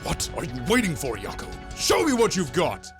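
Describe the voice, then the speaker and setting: A man's voice: fairly high pitch, huge pitch swings, normal speed, over music. A man voicing an earnest teenage boy card duelist, exclamatory and dramatic in a manga style. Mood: urgent, alarmed. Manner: exclamatory, intense, theatrical.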